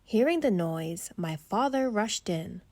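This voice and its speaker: A woman reading a rhyming children's bedtime story aloud in an expressive storytelling style. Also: medium pitch, unhurried, dry recording.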